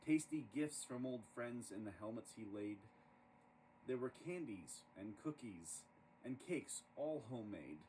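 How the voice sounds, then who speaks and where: A man reading a rhyming children's story aloud in an expressive, dramatic storytelling manner. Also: medium pitch, measured pace. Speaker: storybook reader. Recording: distant microphone with clear background noise.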